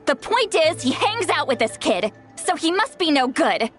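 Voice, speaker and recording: A woman's voice: sits high, very animated, normal speed, a bit bright. A nagging, scolding grandmother. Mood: exasperated. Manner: nagging, exasperated scolding with sighs. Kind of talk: video game cutscene dialogue. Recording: game music behind voice.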